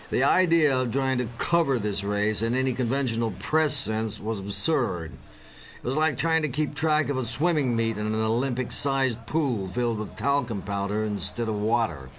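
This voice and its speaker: A man's voice: mid-range voice, slight background noise, lightly acted. An actor playing a drug-addled journalist who narrates his misadventures in a wry, florid, sardonic way. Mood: bemused, wry. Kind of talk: scripted first-person narration.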